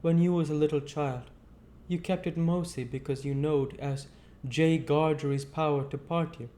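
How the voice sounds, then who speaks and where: A man's voice: fairly high pitch, steady tone, moderate pace, warm tone, pitched above the performer's own voice, close to an everyday voice. A man voicing a kindly, uneducated country man from a novel, earnest and argumentative, with rustic, emphatic phrasing. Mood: earnest, gently insistent. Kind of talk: audiobook character voice.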